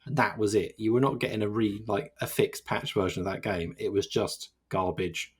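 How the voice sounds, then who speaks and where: Man, husky voice, lowish pitch, rambling casual podcast chat. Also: normal speed. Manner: rambling, many restarts and fillers. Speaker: podcast co-host.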